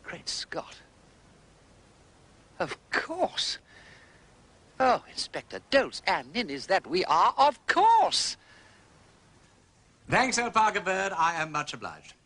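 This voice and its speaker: An actor playing a jaunty, quick-witted gentleman sleuth with an upper-class English accent and breezy, theatrical phrasing in a period detective drama. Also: sits high, huge pitch swings, heavily acted. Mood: excited realisation, then cheerfully playful.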